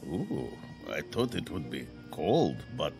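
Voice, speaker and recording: An actor playing a frail old man in a radio drama, boastful yet hesitant, halting and given to drawn-out exclamations. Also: extremely low pitch, wildly swooping pitch, music in the background, exaggerated voice. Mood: hesitant wonder.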